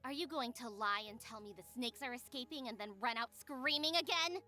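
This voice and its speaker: A stubborn, sharp-tongued teenage magical girl played by a woman, with a high, very bright voice. She sounds indignant and accusing in visual novel style, over faint music. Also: brisk. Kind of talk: visual novel character acting.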